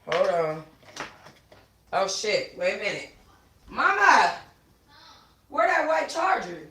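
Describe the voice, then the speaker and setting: A woman's voice: medium pitch, very animated, slow pace, pushed and bright. A woman hosting a book club livestream at home on her phone, reading expressively and talking to viewers in an informal, slangy, conversational way. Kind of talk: expressive read-aloud with casual asides to viewers. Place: room at home. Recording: roomy echo on a phone livestream.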